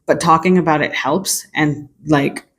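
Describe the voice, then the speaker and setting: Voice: mid-range voice, fairly even intonation, a little slow, a bit bright, slightly rough. Earnest young book reviewer thinking aloud. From China. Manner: thoughtful and earnest, with frequent hedges and restarts. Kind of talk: casual video book review.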